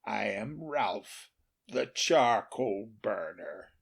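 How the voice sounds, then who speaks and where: Man doing a blunt peasant charcoal burner, animated. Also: on the low side, very slow, vocal fry, husky, full character voice. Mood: welcoming. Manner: blunt, plain-spoken. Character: poor, blunt, honest peasant charcoal burner, hospitable but gruff.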